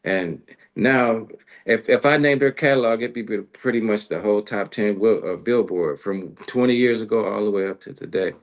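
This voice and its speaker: A man's voice: fairly low pitch, normal speed. A man hosting an interview in an informal, slangy, conversational way. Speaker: radio interview host. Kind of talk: casual interview chat.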